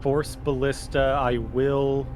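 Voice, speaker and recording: A man's voice: medium pitch, flat delivery, takes it slowly, a little mellow. A man chatting casually as a tabletop game player, thinking aloud. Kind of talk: casual chat while playing. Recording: music under the voice. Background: music.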